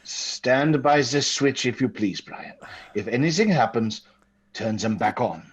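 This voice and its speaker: An actor playing a stern, authoritative doctor of the supernatural, grave and deliberate, in an old-time radio drama. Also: male voice, medium pitch, animated, a little hiss or hum, lightly acted. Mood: commanding. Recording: muffled old radio recording.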